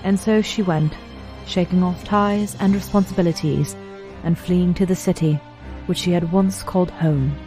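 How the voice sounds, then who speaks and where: A woman narrates a scripted story in a soft-edged, dark-toned voice pitched a little low. Music plays quietly behind her. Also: fairly even intonation, moderate pace.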